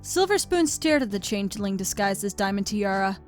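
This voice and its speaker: Woman narrating a story over soft music. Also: mid-range voice, expressive, a little fast. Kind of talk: scripted storybook narration of fan fiction.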